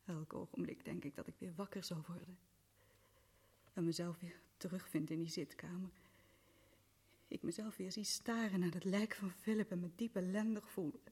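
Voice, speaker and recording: An actress playing a young woman accused of murder in a radio play, halting and pleading. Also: lowish voice, steady tone, a little mellow, husky. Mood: bitter. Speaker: accused prisoner.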